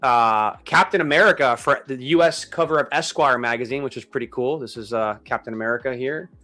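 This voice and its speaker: A man's voice: medium pitch, a little fast, pushed and bright, faint music behind. A man of thirty-nine chatting casually as a live stream host, with informal, slangy bro-talk. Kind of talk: casual chat.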